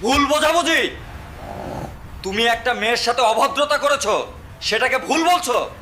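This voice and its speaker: A man's voice: very high voice, animated, moderate pace, bright, forceful tone, slight background noise, exaggerated voice. A man playing a righteous, stern young army captain in a story, with a firm, commanding manner.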